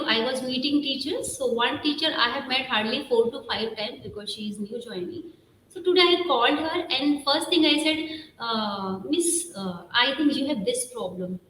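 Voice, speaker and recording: A middle-aged woman speaks high and a little hoarse. She talks as a school principal in an interview, chatty and earnest, full of small fillers. Her voice rings in an echoey room over faint noise. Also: a bit bright. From India. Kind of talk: interview answers.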